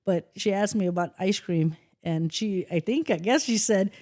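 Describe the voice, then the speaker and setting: Mellow-voiced middle-aged woman telling stories. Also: medium pitch, moderate pace. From the Philippines. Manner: animated storyteller who acts out quotes and swears casually. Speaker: guest, host's mother. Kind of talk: casual podcast conversation.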